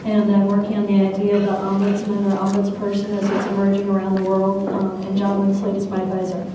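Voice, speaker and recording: A woman's voice: medium pitch, completely flat delivery, quick pace, background noise. A woman from Washington state introducing her doctoral work in a matter-of-fact way. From Washington state, USA. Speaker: doctoral student. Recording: echoey room, distant mic.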